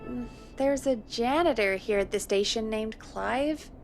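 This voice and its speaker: High-voiced radio producer. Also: female voice, unhurried, soft background music. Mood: composed. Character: brisk, friendly female radio show producer. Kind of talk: video game acting of conversational radio talk.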